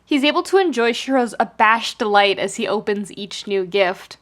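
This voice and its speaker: A woman reading fiction aloud as a podfic narrator, in an expressive scripted storytelling style. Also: mid-range voice, moderate pace.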